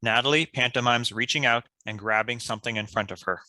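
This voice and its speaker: A man's voice: mid-range voice, fairly quick, pushed and bright, no echo, rough recording. A man reading stage directions as scripted narration.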